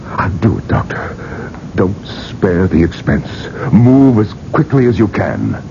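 An actor playing a domineering older industrialist obsessed with living forever, imperious and emphatic, in a radio drama. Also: male voice, on the low side, very animated, slow pace, creaky, full character voice. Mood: determined. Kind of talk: 1980s radio drama acting and first-person narration. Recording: muffled, noisy vintage radio broadcast.

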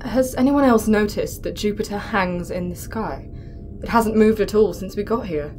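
A woman's voice: mid-range voice, normal speed, darkish tone, slight background noise, natural voice. A woman playing a mild astronaut medic in a science fiction audio drama, conversational and reflective. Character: mild, wonder-struck female astronaut medic.

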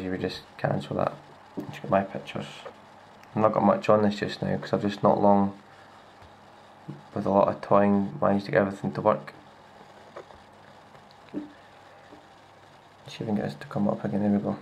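A Scottish man talks through a laptop demo in a low voice. His speech is flat and gravelly, and he stops often for fillers and restarts. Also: normal speed, pauses often, a little hiss or hum, rough recording. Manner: relaxed, with many fillers and restarts. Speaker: tech reviewer. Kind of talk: casual hands-on demo. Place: indoors at a wooden table. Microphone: handheld camera filming a laptop screen.

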